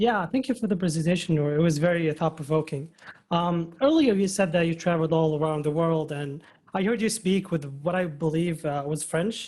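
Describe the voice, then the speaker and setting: A man on a video call asks the speaker a polite, curious question. His voice is on the high side and he talks quickly. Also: a little mellow. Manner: polite, inquisitive. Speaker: audience member asking a question.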